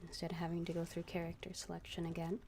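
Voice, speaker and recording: A woman game streamer chatting casually to viewers in a hesitant, halting way. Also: on the low side, monotone, noisy background. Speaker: game livestreamer. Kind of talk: casual livestream chat.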